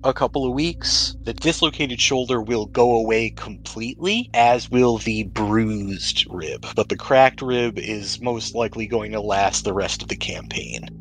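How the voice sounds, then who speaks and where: A man acting as game master, narrating and explaining rules in a measured, explanatory way. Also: on the low side, fairly bright tone, poor audio.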